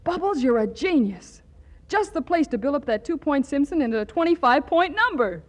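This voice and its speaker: A brassy scheming flirt. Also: female voice, sits high, big pitch swings, a little fast. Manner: brassy, wisecracking. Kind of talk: 1940s radio comedy acting. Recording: hissy 1940s radio recording.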